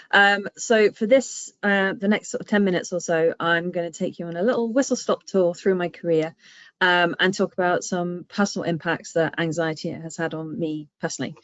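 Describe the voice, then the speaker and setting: A woman's voice: on the low side, a bit bright, no echo. A woman from southern England with a southern English accent, giving a chatty webinar talk with lots of ums over a video call. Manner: chatty, frequent ums, self-deprecating asides. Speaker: guest speaker, public engagement manager. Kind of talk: webinar presentation. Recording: dry, muffled video-call audio.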